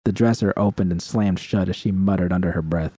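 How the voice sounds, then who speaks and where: A man's voice: sits low, fairly even intonation, fairly quick, warm tone, no echo, studio quality. A man reading dramatic first-person fiction as an audiobook narrator.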